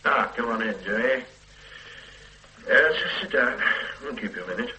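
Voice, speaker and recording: A man's voice: medium pitch, measured pace, pushed and bright, a little hiss or hum. A man playing a dry, sardonic senior police officer in a radio drama. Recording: roomy radio drama recording.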